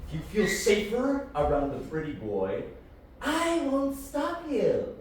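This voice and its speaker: An actor of unclear sex playing a stern, dismissive alien time traveler in a science fiction audio drama, curt and deliberate. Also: medium pitch, huge pitch swings, distant mic. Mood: curt, scornful. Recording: very echoey, off-mic, faint noise.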